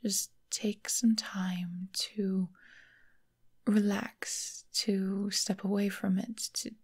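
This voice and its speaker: A woman voicing a refined, affectionate vampire mistress in a soft, breathy ASMR roleplay whisper, gentle and soothing. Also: mid-range voice, little pitch movement, full character voice. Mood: coaxing, soothing. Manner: gentle, unhurried, genteel, affectionate endearments. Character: caring, refined female vampire mistress, long-lived doting lover.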